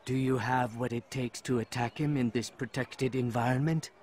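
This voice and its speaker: Male actor as game informant, assassin, medium pitch, smug, condescending, taunting. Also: steady tone, moderate pace, darkish tone, lightly acted. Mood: scornful. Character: smug, scornful assassin informant, a grown man. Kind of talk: video game cutscene acting.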